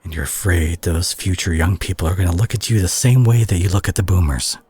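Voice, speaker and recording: A man acting a husky, low-voiced worried superhero. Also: brisk, darkish tone. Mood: somber, pained. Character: concerned male superhero confronting his reckless friend and teammate. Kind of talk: dramatic audio roleplay monologue.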